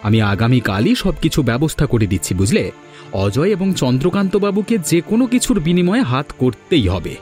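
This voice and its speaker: A man's voice: on the high side, sing-song, a little fast, darkish tone, soft background music. A man voicing a talkative, self-assured villager in a cartoon folk tale, conversational and emphatic. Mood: scheming, confident.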